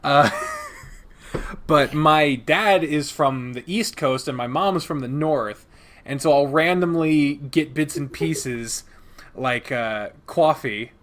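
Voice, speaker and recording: A man's voice: a little high, fairly bright tone. Midwest american guy hosting a chill podcast, swears, lots of uhs. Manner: casual, swears, many "uh" pauses. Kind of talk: casual podcast chat.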